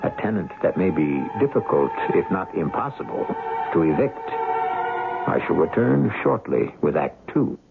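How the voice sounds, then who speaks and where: Highly theatrical male radio host over music. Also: sing-song, vocal fry. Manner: ornate, wry, deliberately suspenseful. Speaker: radio drama host and narrator. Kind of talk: 1970s theatrical scripted narration. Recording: tinny 1970s radio recording. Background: loud dramatic music.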